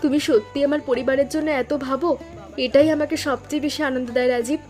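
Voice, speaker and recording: Brisk, high-voiced young wife voiced by an actress. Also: female voice, fairly even intonation, darkish tone, faint music behind, natural voice. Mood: affectionate, eager. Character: affectionate young married daughter, eager and practical. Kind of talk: Bengali animated story dialogue.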